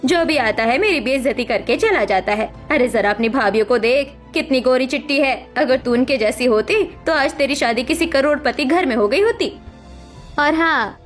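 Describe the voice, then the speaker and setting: Woman playing a high-voiced, two-faced mother-in-law. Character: two-faced, scolding middle-aged Indian mother-in-law. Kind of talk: cartoon family drama voice acting.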